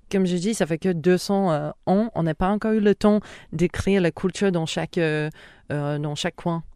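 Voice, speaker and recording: Femme australienne rieuse, voix lisse. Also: female voice, on the low side, smooth, no echo, clean studio sound. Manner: animated, laughs easily, hesitant with many fillers. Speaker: interview guest. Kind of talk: casual conversational interview.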